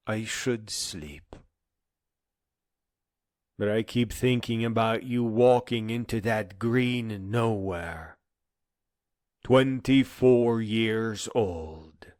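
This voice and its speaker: A man delivering a dramatic spoken-word monologue in an earnest, emphatic manner that moves between hushed and insistent phrases. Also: fairly low pitch, no room sound, studio quality.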